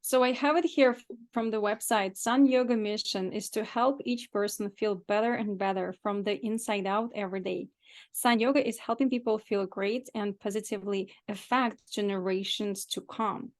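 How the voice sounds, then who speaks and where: A woman's voice: mid-range voice, steady tone, normal speed. A woman hosting a casual interview, chatting in an effusive, complimentary way with her guest.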